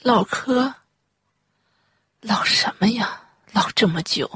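A woman playing an anxious, ailing elderly mother in a radio drama, with a breathy, husky voice and a probing manner. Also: medium pitch, slow pace, fairly bright tone, dry recording, studio quality, exaggerated voice. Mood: suspicious, probing.

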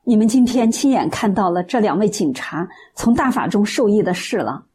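A woman acting a kindly, earnest middle-aged auntie in a radio drama, speaking in gentle, encouraging praise. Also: medium pitch, lively intonation, moderate pace, husky.

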